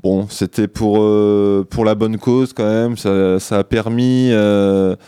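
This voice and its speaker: A man hosting a community radio show, chatting casually and sounding tired, pausing often on filler sounds into a studio mic. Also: fairly low pitch, steady tone, mellow, clean tone, dry recording. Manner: hesitant, many filler pauses. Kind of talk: casual on-air chat. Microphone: studio microphone.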